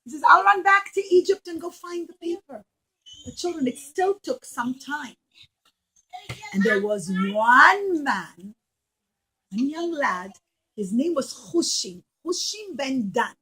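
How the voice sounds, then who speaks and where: A woman teaching young children a religious story in an expressive way, acting out the dialogue and putting questions to her listeners. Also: high voice, slow pace, rough recording. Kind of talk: storytelling puppet show lesson for young children.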